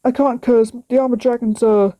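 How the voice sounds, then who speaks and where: A woman's voice: on the high side, fairly even intonation, normal speed, warm tone, lightly acted. A woman voicing a young dragon prince in a read-aloud storybook, brave but easily hurt and unsure of himself. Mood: doubtful.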